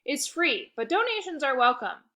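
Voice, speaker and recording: A woman voicing an eager, scheming kid in a read-aloud storybook, exclaiming and pushing a sales pitch. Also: very animated, normal speed, pushed and bright, full character voice. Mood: salesy, reassuring. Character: eager, scheming kid hosting a dog party.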